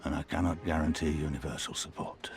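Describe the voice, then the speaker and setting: Solemn resistance leader, very deep voice, formal, measured, commanding, video game cutscene. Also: male voice, flat delivery, faint music behind. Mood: grave, measured.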